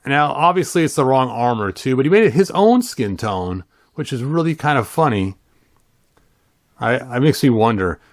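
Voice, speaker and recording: A man's voice: mid-range voice, expressive, moderate pace, no echo. Playful middle-aged man, dry close sound. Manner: playful, rambling, self-amused, adds sung sound effects. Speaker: host of a toy and storybook channel. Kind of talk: casual read-along commentary.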